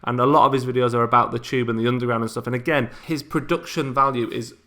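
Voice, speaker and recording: A man's voice: mid-range voice, fairly even intonation, fast talker, bright, forceful tone. A man, answering subscriber questions in a casual, chatty way with frequent ums and restarts. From England. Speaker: YouTuber.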